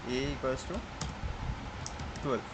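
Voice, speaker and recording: A man from India walks through a coding lesson in a mid-pitched, everyday voice, stopping often to restart his sentences. Loud background noise from an air conditioner runs under the whole recording. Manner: halting, many fillers and restarts. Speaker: programming tutor.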